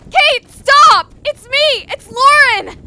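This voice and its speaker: A woman's voice: very high-pitched, takes it slowly, bright, forceful tone, a little hiss or hum, exaggerated voice. A woman playing a frightened young woman searching for her missing friend in an audio drama. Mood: pleading, screaming.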